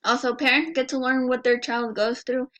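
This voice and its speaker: A woman's voice: sits high, fairly even intonation, normal speed, fairly bright tone. Earnest young woman presenting to a class. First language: Spanish. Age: early 20s. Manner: earnest, personal, often restarts and rephrases. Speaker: student presenter.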